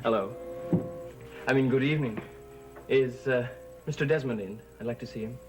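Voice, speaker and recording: A man's voice: medium pitch, moderate pace, lightly acted, low-quality recording. An actor playing a puzzled young man newly arrived at a mansion, dry and polite, in 1960s TV soap style. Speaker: lead character. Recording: old TV soundtrack with loud music.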